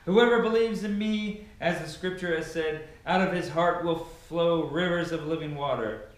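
A man voicing a solemn male preacher addressing a crowd, slow and emphatic, recorded in an echoey bare room from a far camera. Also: on the high side, natural voice.

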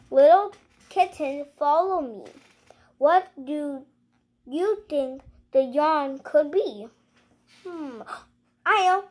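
A child with a high voice reads a picture book aloud at a slow, halting pace. The delivery is playful, with exclamations and little asides to viewers, like a young host presenting at home. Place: living room at home, indoors.

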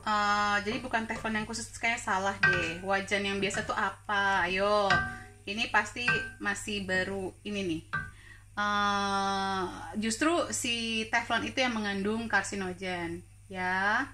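A woman's voice: mid-range voice, fairly even intonation, measured pace, sharp tone, faint music behind. A woman from Indonesia hosting a live cooking stream from her home kitchen, chatting and laughing as she answers viewer questions. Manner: chatty, laughs often, code-switches into English words. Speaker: cooking show host.

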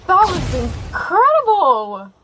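A woman giving spontaneous reaction commentary, excitable and full of exclamations. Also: very high-pitched, slow pace, faint music behind, low-quality recording.

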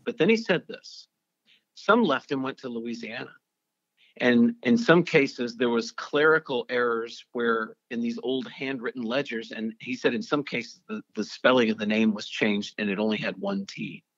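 A man's voice: mid-range voice, normal speed, no room sound, lo-fi sound. A man earnestly recounting a personal story, stumbling and repeating words as he searches for them. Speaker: storyteller guest. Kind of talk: personal testimony interview.

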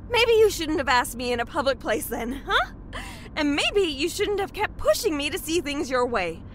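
An actress plays a distrustful, upset young woman in an audio drama. Her high voice moves slowly and swings dramatically, with a sardonic edge, over faint background noise. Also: very animated, fairly bright tone. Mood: bitter, hurt.

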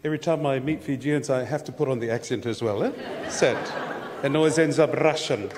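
A man's voice: mid-range voice, a little fast. Playful male emcee at an awards night. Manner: jokey, playful asides. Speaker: master of ceremonies. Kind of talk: awards ceremony hosting. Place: large hall at an awards ceremony. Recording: reverberant hall with crowd and background noise.

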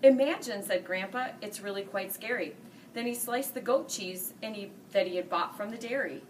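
A woman in her forties reading a children's picture book aloud, dropping low and soft for the older characters. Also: moderate pace. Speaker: school staff reading buddy. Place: small room, seated before a green-screen farm backdrop. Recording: distant mic with faint hiss.

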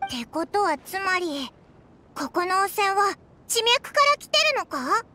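Casting: tiny childlike game fairy, very high bright voice, blunt and inquisitive. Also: female voice, expressive, measured pace, full character voice. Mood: curious. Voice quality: squeaky, childlike. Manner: boyish blunt speech, exclaiming questions. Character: small childlike floating fairy companion, curious and blunt. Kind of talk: Japanese anime game voice acting.

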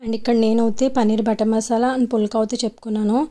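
Young woman vlogger, casual narration packed with fillers; fairly high, even-pitched delivery. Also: quick pace. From Andhra Pradesh, India. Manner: chatty, filler-heavy storytelling. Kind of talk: casual voice-over vlog narration.

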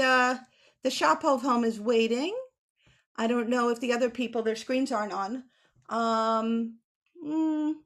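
Middle-aged woman, children's illustrator type, high-pitched, animated kid-directed teaching on a home video call. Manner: animated, simple kid-directed explanations with emphatic words. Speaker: children's author and illustrator leading a drawing lesson. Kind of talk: children's drawing lesson, talking to kids. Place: small home room with bookshelves. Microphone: computer mic on a video call.

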